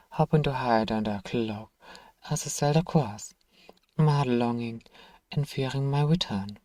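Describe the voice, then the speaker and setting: A man voicing a thousand-year-old vampire, nostalgic, tender and sorrowful, in a soft, measured ASMR role-play delivery that dips toward whispers. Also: medium pitch, dry recording, recorded from a distance, lightly acted. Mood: haunted, wistful.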